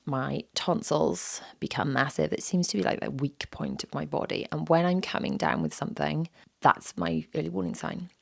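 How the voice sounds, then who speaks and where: A woman in her thirties talks through her podcast in a low, gravelly voice, roughened by a sore throat. She is candid and a little self-deprecating, and she often repeats a phrase for emphasis. The sound is close and dry, with no room echo. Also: normal speed. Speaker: podcast host. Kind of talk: reflective solo podcast talk.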